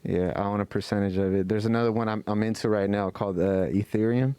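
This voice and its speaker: Man, low and mellow, loose profane podcast co-host. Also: steady tone, fast talker, clear, resonant tone. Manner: loose, profane, rambling with many restarts. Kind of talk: casual podcast banter.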